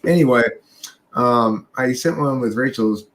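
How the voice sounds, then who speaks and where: Young guy rambling thru a road trip story, swears a lot, middle pitch. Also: unhurried. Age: young adult. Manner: rambling, profane storytelling with laughs. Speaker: podcast host. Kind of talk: casual livestream chat.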